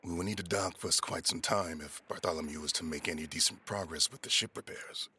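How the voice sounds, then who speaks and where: A man playing a gruff, practical, loyal ship's first mate with a raspy voice in an audio drama. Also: deep voice, a little fast, bright, forceful tone, heavily acted. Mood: matter-of-fact.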